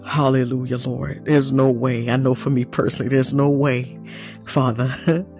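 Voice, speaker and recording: A man's voice: mid-range voice, little pitch movement, normal speed, a little mellow. Emotional man praying over faint music. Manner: emotional, repetitive. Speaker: church leader addressed as apostle. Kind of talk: heartfelt spontaneous public prayer.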